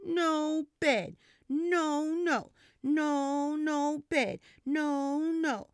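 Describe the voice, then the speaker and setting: A woman voicing a cranky little girl on a bad day, shrill and shouty in her protests. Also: steady tone, drawn-out words, dry recording, clean studio sound, exaggerated voice. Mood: shouty whining.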